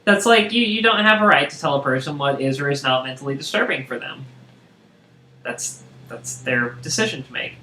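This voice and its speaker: A loud, excitable woman with a big, lowish voice chats casually while playing a video game. She sounds bright and forceful, recorded in an echoey room. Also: moderate pace. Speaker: streamer and host of a co-op gaming session. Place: indoor room, live game stream. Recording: echoey room with background noise.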